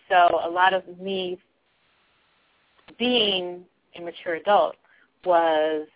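A soft-spoken woman talking reflectively in a casual group discussion, laughing now and then. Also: on the low side. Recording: tinny phone-like line.

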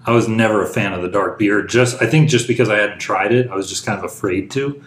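A man's voice: on the low side, fast talker. A man hosting a casual podcast chat in an easygoing way. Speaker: host. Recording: echoey room, faint noise.

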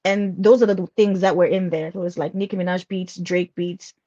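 A woman's voice: on the low side, fairly even intonation, normal speed, warm tone, no room sound. A young woman from Malawi, a musician guest chatting casually in an interview, with frequent laughs and hesitant fillers. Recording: dull lo-fi sound.

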